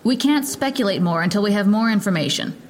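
Stern American policewoman played by an actress, mid-pitched, official and impatient, for audio drama press briefing. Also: animated, brisk, slight background noise, lightly acted. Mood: firm, official. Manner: formal, authoritative, clipped. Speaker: police lieutenant.

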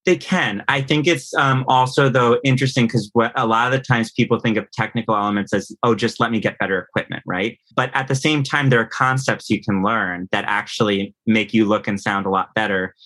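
A man's voice: lowish voice, fairly even intonation, brisk, hardly any pauses, a bit bright. A man in his thirties talking casually as an interview guest, explaining things and often checking in with the listener. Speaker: composer and audio producer guest.

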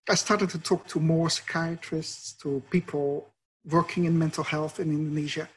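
Dutch guy, middle-aged prof, kinda husky voice, laid-back explaining his research. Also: fairly high pitch, level tone, moderate pace, a bit bright, poor audio. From Netherlands. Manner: thoughtful, explanatory storytelling. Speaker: professor and researcher. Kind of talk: conversational interview answers.

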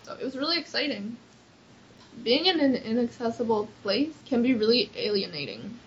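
A woman's voice: on the high side, animated, normal speed. Chatty young woman, lo-fi. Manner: earnest, chatty, frequent "like" fillers. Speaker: accessibility activist. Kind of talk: casual interview answers. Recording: slightly dull lo-fi recording.

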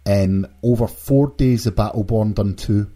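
A man's voice: fairly low pitch, unhurried, warm tone. A British man hosting a casual video game podcast, sometimes stammering and repeating his words. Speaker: podcast host.

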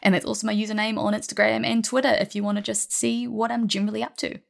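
A woman with a noticeably creaky voice at medium pitch chats casually as a guest. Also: level tone, fast. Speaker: guest, playwright and game writer. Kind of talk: casual chat, plugging work.

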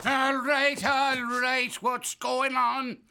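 An actor playing a gruff Irish police officer in a period radio drama, questioning suspects with a thick Irish accent. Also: male voice, extremely high pitch, flat delivery, extremely slow, noisy background, full character voice. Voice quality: brogue-heavy, gruff questioning. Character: blustering Irish police officer, middle-aged man. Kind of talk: 1930s radio drama acting.

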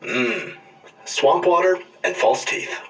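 An actor playing a melodramatic smell tester in a broad film comedy, savouring every exaggerated description. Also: male voice, high voice, slow pace, fairly bright tone, distant mic, full character voice, rough recording. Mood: disgusted. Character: theatrical comic movie smell tester, melodramatically disgusted or enraptured.

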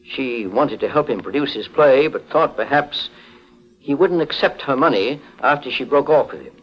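An actor playing a calm, courteous but stern private investigator, formal and authoritative, in a 1940s radio detective drama. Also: male voice, mid-range voice, normal speed, natural voice. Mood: accusing, stern. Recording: muffled 1940s radio recording.

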